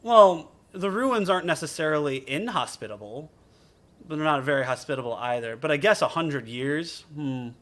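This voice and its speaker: A male streamer talks casually over a game. His voice is a little high, and he muses aloud, halting as he works through his thoughts. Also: lively intonation, a bit bright. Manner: halting, musing, thinking aloud. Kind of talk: casual live game commentary.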